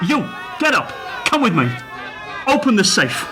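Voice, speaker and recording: An actor playing a violent armed bank robber in a radio play, barking commanding, threatening orders. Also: male voice, high voice, huge pitch swings, unhurried, exaggerated voice. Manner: shouted, commanding. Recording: loud dramatic music and crowd behind.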